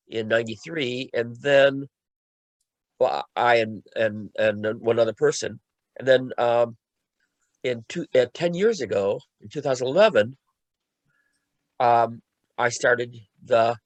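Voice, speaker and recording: Male, 60s, mid-pitched, measured and halting panel speaker, close dry recording. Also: dry recording. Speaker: conference panelist and language teacher. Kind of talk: informal self-introduction in a group discussion.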